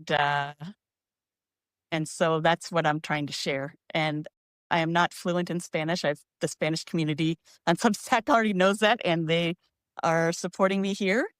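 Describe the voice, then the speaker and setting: Woman guest, easygoing and chatty, lowish smooth voice, phone mic. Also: dry recording, studio quality. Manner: laughs often, easygoing. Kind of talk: casual chat. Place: San Francisco. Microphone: phone used as camera.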